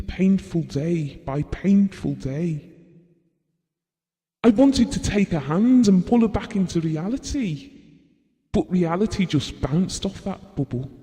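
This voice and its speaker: A gentle bereaved father tells his story in an intimate audio drama. His voice is slightly high for a man and mellow, with reflective, slow delivery. Long reverb hangs around every word. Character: Bill, grieving, gentle, practical husband and bereaved father. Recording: long reverberant hall sound.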